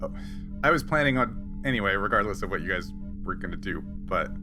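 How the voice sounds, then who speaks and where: A man's voice: low-pitched, lively intonation, a little fast. Man game-mastering over background music. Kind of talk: narrating and refereeing a tabletop roleplaying game.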